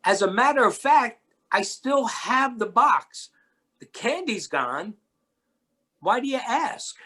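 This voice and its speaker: A performer playing a kindly, lonely, mild-mannered man in a readers theatre children's story, with a husky, hesitant delivery. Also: medium pitch, very animated, takes it slowly, bright, forceful tone, heavily acted. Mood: concerned.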